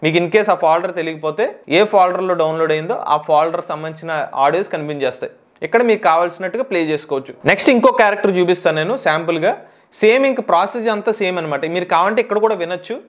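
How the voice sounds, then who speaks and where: A man with a Telugu accent hosting a step-by-step software tutorial, instructional and mixing in English tech terms. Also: sits high, racing. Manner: instructional, mixes English tech words into speech.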